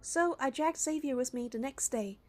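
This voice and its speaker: A woman's voice: high voice, normal speed. A young woman narrating a game playthrough, reading the story and the player character's part in a casual way. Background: music.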